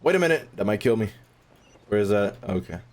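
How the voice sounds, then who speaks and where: A man's voice: lowish voice, animated, fairly quick. A man doing casual, slangy, joking live commentary as he streams a game.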